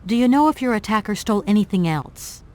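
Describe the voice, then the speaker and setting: A woman's voice: medium pitch, fast talker, close to an everyday voice. A woman playing a plucky, practical young adventurer in a video game, with a natural, wry delivery. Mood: wry, exasperated. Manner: natural, expressive, casually wry. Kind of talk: video game character acting.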